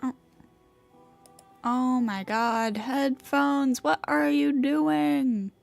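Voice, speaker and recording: High-voiced woman streaming a game. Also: steady tone, very slow, vocal fry. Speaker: live streamer. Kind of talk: casual game stream reactions and read-alongs. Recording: game music behind voice.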